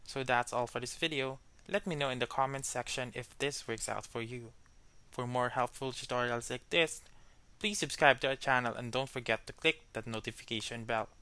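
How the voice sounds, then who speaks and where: A man's voice: medium pitch, normal speed, pushed and bright, clear, resonant tone, no echo. A man hosting a tutorial channel, reading a scripted video sign-off.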